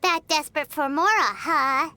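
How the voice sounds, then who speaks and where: A woman voicing a tiny, childlike, cheeky fairy companion in a video game, with a squeaky voice. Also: measured pace, pushed and bright, slight background noise. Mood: skeptical. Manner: refers to herself by name. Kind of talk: English video game voice acting. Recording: music behind the voice.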